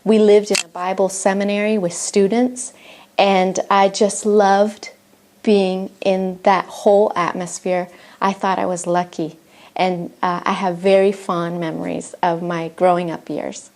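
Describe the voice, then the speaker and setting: A woman's voice: mid-range voice, little pitch movement, a little slow, a little mellow. A middle-aged woman from Argentina, a native Spanish speaker, telling her personal faith story in an earnest, reflective way with some restarts. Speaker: missionary. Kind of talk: personal testimony.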